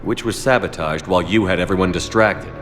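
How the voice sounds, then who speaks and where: Very deep, curt military commander. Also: male voice, fairly quick, a bit bright. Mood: stern. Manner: terse, commanding, dry. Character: stern, curt, authoritative male military commander of a spaceship. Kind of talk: video game voice acting.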